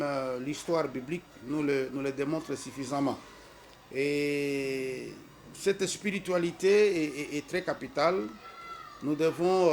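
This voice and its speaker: Congolese man, middle-aged, slow hesitant speech with a preacher's emphasis, faint noise under the recording. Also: fairly high pitch. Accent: Congolese French. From Congo-Kinshasa. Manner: hesitant, many fillers. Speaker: school representative. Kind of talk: formal address presenting a school.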